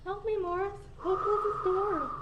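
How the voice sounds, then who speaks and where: A woman's voice: very high-pitched, fairly even intonation, a little slow, highs cut off. A woman playing a plucky, earnest young superheroine in a comic radio play. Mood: puzzled. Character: plucky young superheroine disguised as ordinary car wash worker. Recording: distant mic, faint noise.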